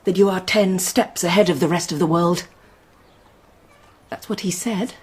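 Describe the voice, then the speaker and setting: A woman's voice: fairly low pitch, normal speed, breathy edge. An actress playing a proud, intelligent, outspoken young Roman noblewoman in a radio drama, poised and pointed. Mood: proud, guarded.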